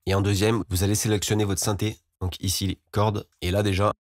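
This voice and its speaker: Guy doing a music software tutorial, deep voice, informal, really dry close sound. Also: flat delivery, fairly quick, no room sound. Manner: informal, explanatory. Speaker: music production tutorial host.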